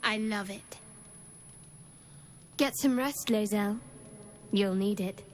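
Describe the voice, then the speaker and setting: A woman's voice: medium pitch, slow pace, a little hiss or hum, lightly acted. An actress playing a guarded, cutting young adventurer woman in a video game, with a dry, wry delivery. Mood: cold, threatening.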